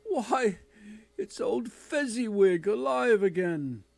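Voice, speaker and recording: A man's voice: high voice, unhurried, gravelly, no echo, pitched above the performer's own voice, heavily acted. A man voicing an old miser who cries out with strong emotion in a storybook reading. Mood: excited.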